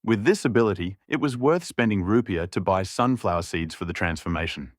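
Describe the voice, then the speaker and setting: A man's voice: low-pitched, animated, a little fast, no room sound, studio quality. A man reading a scripted story as an audiobook narrator.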